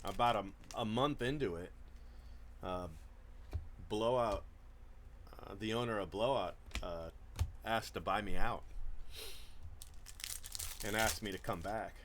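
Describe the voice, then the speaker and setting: A man's voice: lowish voice, takes it slowly, long pauses, fairly bright tone, a little hiss or hum. A man hosting a trading card break, chatting casually about his past with many fillers and restarts. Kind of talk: casual chat while opening cards.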